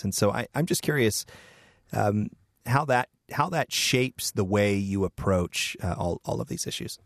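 A man hosting an interview, asking questions in a halting way with repeated words. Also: low voice, no room sound, studio quality. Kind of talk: interview conversation.

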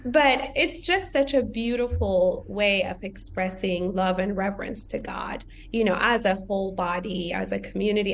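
A woman's voice: mid-range voice, creaky, slight background noise. A young woman from Ethiopia speaking as a podcast guest, reflective and conversational, filling her talk with "you know" and "like". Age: young adult. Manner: reflective, uses many fillers like "you know" and "like".

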